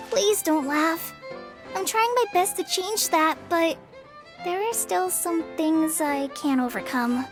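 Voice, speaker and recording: A woman voicing a shy, anxious, soft-hearted young girl in a video game, timid and hesitant. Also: very high voice, takes it slowly, music in the background, heavily acted.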